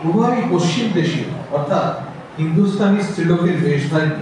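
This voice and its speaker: Man, West Bengali accent, formal prose recitation, fairly high voice, very echoey. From Asansol, West Bengal. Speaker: workshop student. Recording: echoey noisy hall, distant mic.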